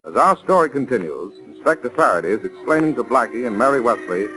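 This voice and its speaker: A man narrating dramatically and deliberately as announcer of a 1940s radio mystery. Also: medium pitch, sing-song, poor audio. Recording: remastered 1940s radio recording. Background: music.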